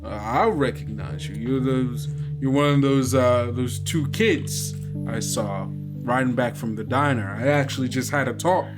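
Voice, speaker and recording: A man voicing a stern, watchful small-town sheriff and father, measured and folksy, in a tabletop game. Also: medium pitch, close to an everyday voice. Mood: suspicious. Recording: guitar music under the voice.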